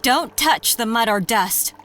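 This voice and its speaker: A woman playing a wary, subdued female wanderer in a fantasy audio drama, speaking in a measured, grave way. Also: a little high, expressive, a bit bright, faint music behind.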